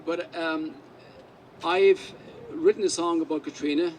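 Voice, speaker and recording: A man's voice: fairly high pitch, fairly even intonation, measured pace, far from the mic, a little hiss or hum, poor audio. An Irish man whose first language is Irish Gaelic, a musician telling rambling anecdotes at a press conference. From Ireland.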